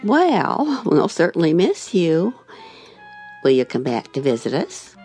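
A woman's voice: on the low side, big pitch swings, slow pace, darkish tone, faint music behind. An actress playing a complaining, fussy elderly woman in a radio drama. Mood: curious, wistful. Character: complaining, arthritic elderly woman in a rest home.

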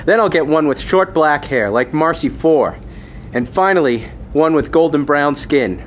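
A cocky, excitable future lawman in a science fiction radio play, teasing and lecherous. His voice runs high and swoops around a lot, with faint ambient noise behind it. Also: a little slow. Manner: brash, teasing, animated. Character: brash, lecherous, excitable male futuristic police agent.

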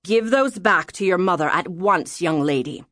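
A woman's voice: lowish voice, lively intonation, moderate pace, fairly bright tone, no room sound, studio quality. A woman voicing a big, stern, commanding father in an audiobook story. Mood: stern, demanding. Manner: commanding, authoritative. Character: imposing, stern, massive father, demanding obedience.

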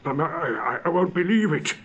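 A man voicing a miserly, scoffing old man in a storybook reading, with sharp, raised outbursts. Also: on the high side, animated, normal speed, slightly rough, voice pushed up. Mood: shaken. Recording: dull lo-fi recording.

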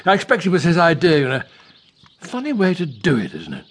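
A man's voice: on the high side, huge pitch swings, a little fast, a little hiss or hum. An actor playing a pompous older Englishman in a radio drama, hesitant and blustering, with clipped phrases. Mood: disdainful. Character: pompous older English husband, ex-colonial police type.

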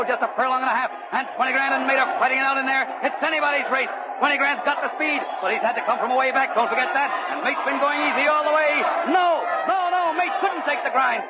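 High-pitched, excited vintage race announcer. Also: male voice. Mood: frantic excitement. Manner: urgent, breathless repetition of phrases. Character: excited male horse race radio announcer at trackside. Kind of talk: 1930s radio race commentary. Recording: dull old radio, loud crowd noise.

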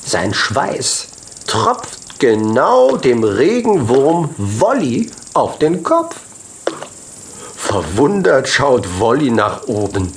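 A man narrating a children's audiobook expressively, in short measured phrases. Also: mid-range voice, wildly swooping pitch, a little hiss or hum. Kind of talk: scripted children's story narration.

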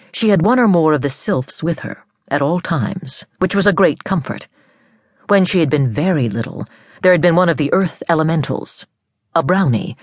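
A woman narrating an audiobook of fiction in a measured, storybook manner with careful phrasing. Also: sits low, dry recording, clean studio sound.

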